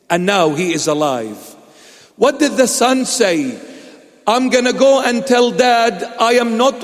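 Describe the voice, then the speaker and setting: A man preaches with a slow, measured pace and a high-pitched voice. He leans on repeated rhetorical questions and throws in light teasing asides. His words ring through a church hall with a long reverb. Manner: emphatic, repetitive rhetorical questions, playful asides. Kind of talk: sermon.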